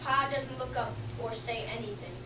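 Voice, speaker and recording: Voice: mid-range voice, steady tone, moderate pace. A young student, a girl, reading scripted narration aloud in a readers theater. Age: child or young teenager. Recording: echoey, noisy room, distant mic.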